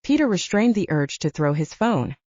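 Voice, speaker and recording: A woman's voice: fairly low pitch, very animated, normal speed, a little mellow. A woman reading scripted fiction as an audiobook narrator. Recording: roomy, dull lo-fi audio.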